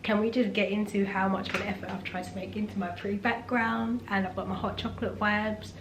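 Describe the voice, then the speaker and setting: Young woman, husky from a cough, reflective faith-centred vlog chat, medium pitch, roomy echo with faint background hum. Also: fairly quick. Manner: relaxed, reflective and faith-focused. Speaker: vlogger. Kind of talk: casual sit-down talk. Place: room at home. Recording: echoey room, faint ambience.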